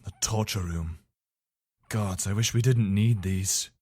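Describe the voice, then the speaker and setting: A man's voice: lowish voice, slow pace, a little mellow, no echo, clean studio sound. A man playing a dutiful, weary but decent imperial soldier in a fantasy video game, speaking earnestly in short, commanding phrases. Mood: solemn, regretful. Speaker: voice actor for a game mod.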